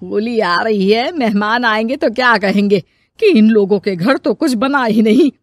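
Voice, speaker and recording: Elderly mother-in-law, played by a woman, high voice, fussy, affectionate, exclaiming, homely cartoon story, close dry sound. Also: normal speed, dry recording, lightly acted. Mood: fussing. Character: affectionate elderly mother-in-law, fussing over her household.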